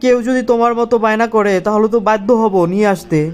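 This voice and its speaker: A man's voice: high voice, steady tone, fast, clean tone, lightly acted. A man with a Bangladeshi accent voicing a plaintive, petty young jilted boyfriend in a cartoon comedy. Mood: mournful. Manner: plaintive, pleading.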